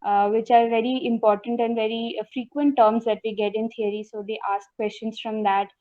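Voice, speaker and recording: Young woman on a call, kinda high voice, lots of uhs, sounds tinny like a phone. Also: little pitch movement, brisk, warm tone, no echo. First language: not Hindi. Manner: hesitant, many fillers, addresses interviewer as sir. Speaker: interview guest, successful exam candidate. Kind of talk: conversational interview answers. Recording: dry tinny phone-like line.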